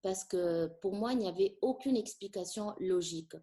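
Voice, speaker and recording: A middle-aged woman from Tunisia whose first language is Arabic, a French teacher speaking thoughtfully in a conversational interview, pausing often with fillers. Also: mid-range voice, warm tone. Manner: thoughtful, hesitant with frequent fillers.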